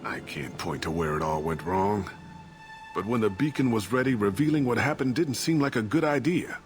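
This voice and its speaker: A man's voice: lowish voice, animated, normal speed, natural voice. A middle-aged man playing a disgraced, evasive ship captain and father in a video game, talking defensively and justifying himself. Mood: self-justifying. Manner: defensive, rationalizing. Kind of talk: dramatic video game voice acting. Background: cinematic background music.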